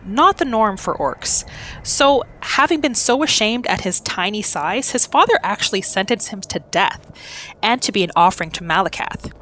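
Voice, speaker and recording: A woman's voice: mid-range voice, expressive, normal speed, fairly bright tone, slight background noise, low-quality recording. A woman narrating a character's backstory for a video game roleplay in a scripted storytelling style.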